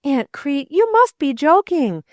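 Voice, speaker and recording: A woman's voice: high voice, very animated, unhurried, no echo, studio quality, pitched above the performer's own voice. A woman voicing an earnest young boy in a storybook reading, speaking eagerly and pleadingly in a raised voice. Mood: alarmed. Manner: eager, pleading, rising to outbursts. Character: earnest boy, grieving and indignant.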